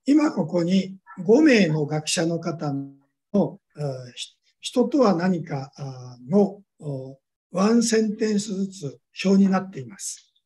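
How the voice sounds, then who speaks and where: A man giving a measured academic lecture, pausing often on drawn-out fillers. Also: high-pitched, sing-song, dark tone. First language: Japanese.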